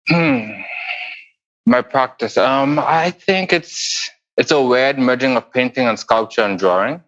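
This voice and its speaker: A man in his forties from the Bahamas, answering interview questions as a guest artist in a thoughtful, unhurried way. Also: mid-range voice, fairly bright tone, dull audio. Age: 40s. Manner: thoughtful, unhurried, with pauses and restarts.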